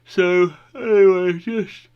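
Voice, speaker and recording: A man's voice: high-pitched, fairly even intonation, slow pace, clean tone, highs cut off, voice pushed up. A man voicing Presumption, a smug overconfident male figure who brushes off every worry in a breezy, raised voice.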